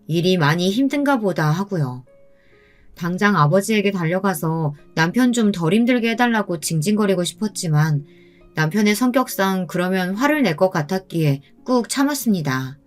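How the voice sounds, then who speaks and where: Woman narrating a personal story over music. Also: lowish voice. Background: background music.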